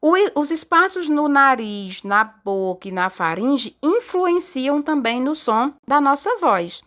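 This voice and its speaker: A woman's voice: high-pitched, expressive, fairly bright tone. A woman teaching a science lesson, explaining step by step and often checking in with her students. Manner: explains slowly with frequent checking tags and hesitations. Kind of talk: recorded online class lesson.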